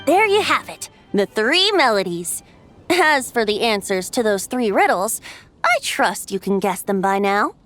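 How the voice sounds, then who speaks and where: A woman's voice: medium pitch, big pitch swings, unhurried, fairly bright tone, soft background music. A woman playing a boyish wandering bard who is secretly an ancient god, breezy and teasing, in a video game. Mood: playful, reminiscing. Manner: breezy, teasing, theatrical, lilting.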